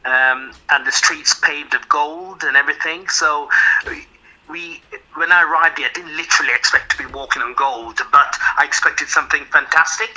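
An older man from Jamaica, recalling his past in an interview with a hesitant manner, often repeating words and chuckling softly. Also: on the high side, steady tone, normal speed, low-quality recording. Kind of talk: reminiscing interview.

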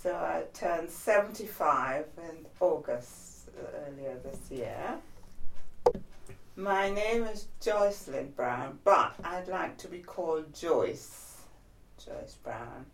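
A woman in her seventies from Trinidad, recalling her life in a measured, reflective oral history interview. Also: low voice, lively intonation, roomy sound, far from the mic, background noise. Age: 70s. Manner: measured, reflective, trailing off softly.

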